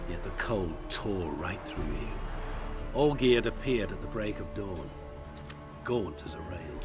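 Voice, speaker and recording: A suave video game merchant who hides a sinister streak. His voice sits on the low side and moves animatedly in pitch. He speaks with deliberate, theatrical courtesy, every phrase eloquent and sly. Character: suave, sinister male merchant with hidden powers. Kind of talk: video game character acting.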